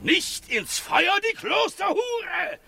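Bloodthirsty zealot of a shoemaker from an old radio play. His voice is very high and piercingly forceful, swinging through drawn-out, rasping shouts as he bays for blood. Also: sing-song, faint music behind, heavily acted. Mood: bloodthirsty, frenzied. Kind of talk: 1950s radio drama acting.

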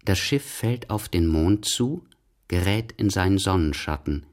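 A man narrating a scripted literary radio piece as a measured storyteller. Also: low-pitched, animated, a little mellow, a little hiss or hum.

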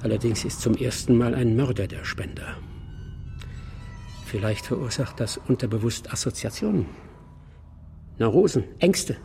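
An actor playing a composed, clinical doctor in a German radio crime drama. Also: male voice, mid-range voice, expressive, normal speed, gravelly, long pauses, mellow, soft background music. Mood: clinical, detached. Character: composed, clinical male doctor explaining neuroses.

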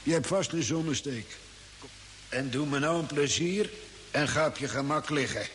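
An actor playing a bossy, sarcastic outback camper in an old radio drama. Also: male voice, a little high, moderate pace, fairly bright tone, close to an everyday voice. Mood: firm, dismissive. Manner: sarcastic, commanding. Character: gruff, sardonic male outback camper, bossy and dismissive. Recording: very noisy old radio recording.

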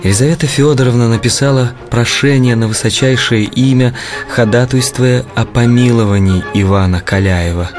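A man's voice: mid-range voice, moderate pace. Solemn male narrator over music. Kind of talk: solemn scripted narration of an audio play. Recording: clear music bed under narration.

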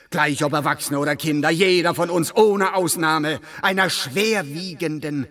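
Zealous, formal male official played by an actor, higher-voiced. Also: fairly bright tone, natural voice. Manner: formal, precise, declamatory. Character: zealous, irritable male research official in dystopian science fiction. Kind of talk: German radio play acting.

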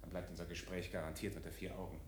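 A man's voice: level tone, rapid-fire, natural voice. A man playing a secretive researcher in a science-fiction radio play, speaking confidentially and with understatement. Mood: conspiratorial. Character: secretive, conspiratorial male researcher. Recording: echoey, with loud rumbling noise.

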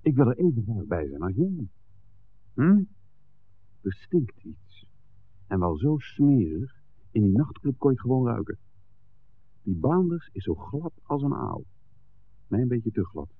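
A man's voice: medium pitch, very animated, a little slow, pauses often, husky, full character voice. An actor playing a practical, suspicious man in a 1960s radio drama, talking in a blunt, colloquial way. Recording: muffled old radio recording.

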